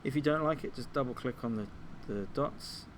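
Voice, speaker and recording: A man giving an informal software tutorial, explaining things step by step like a teacher. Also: medium pitch, moderate pace, very noisy. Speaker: music technology teacher.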